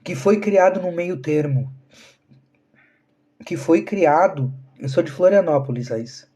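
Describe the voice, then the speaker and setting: A man's voice: a little high, unhurried, warm tone, husky. A man, talking earnestly to viewers on a live stream and asking rhetorical questions. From southern Brazil. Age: 30s. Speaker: spiritual channel host.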